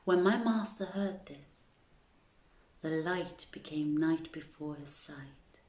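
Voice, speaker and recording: A woman reading a story aloud as a presenter, with a measured, deliberate and dramatic delivery. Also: deep voice, a little mellow, echoey room. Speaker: reader presenting a literary reading series.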